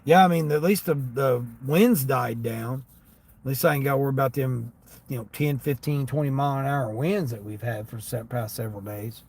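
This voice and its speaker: A man's voice: mid-range voice, normal speed, gravelly, breathy edge. A man with a slightly Southern American accent chats casually and ramblingly to livestream viewers in a folksy way. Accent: American with a Southern tinge. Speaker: livestream host, gig delivery driver. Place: inside a parked vehicle. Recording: steady vehicle and ambient noise.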